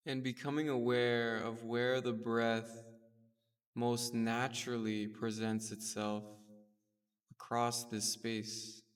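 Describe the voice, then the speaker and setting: A man leading a guided meditation with gentle, soothing, unhurried instruction. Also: mid-range voice, level tone, smooth. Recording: distant mic in a reverberant space.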